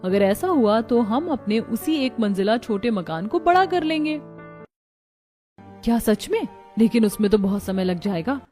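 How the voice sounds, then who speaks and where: A woman's voice: a little high, expressive, unhurried, warm tone. A woman voicing worried daughters-in-law in a cartoon family melodrama, earnest and plaintive. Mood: complaining. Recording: music bed behind the voice.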